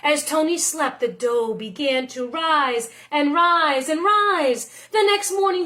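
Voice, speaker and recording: High-pitched woman reading a storybook. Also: a bit bright. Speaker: teacher reading a picture book aloud. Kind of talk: expressive storybook narration.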